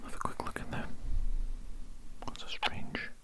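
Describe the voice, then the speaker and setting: Whispered, fussy ASMR doctor roleplay. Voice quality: breathy unvoiced whisper. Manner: slow, repetitive murmuring, politely fussy. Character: polite, curious, fussy doctor examining an alien. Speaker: ASMR performer role-playing a doctor examining a patient.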